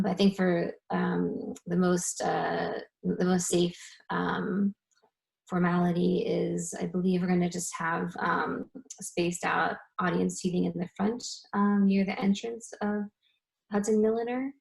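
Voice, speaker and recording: Flat, creaky-voiced woman full of ums. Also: on the low side, measured pace, rough recording. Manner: hesitant, many ums and restarts. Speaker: dance performer. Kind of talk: answering a panel question, unscripted.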